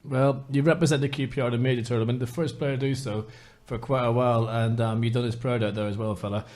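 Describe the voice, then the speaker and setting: Welsh man, podcast host, mid-pitched voice, jokey, chatty, rambling questions. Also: fairly even intonation, fast, darkish tone. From Wales. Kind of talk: casual interview chat.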